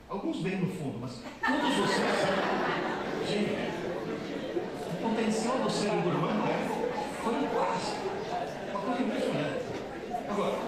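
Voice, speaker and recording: A male professor lectures with wry, teasing emphasis, his voice a touch high for a man. He sounds far from the mic, ringing through a very echoey hall with faint background noise. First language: Italian. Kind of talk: humorous public lecture. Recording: distant, very echoey hall recording.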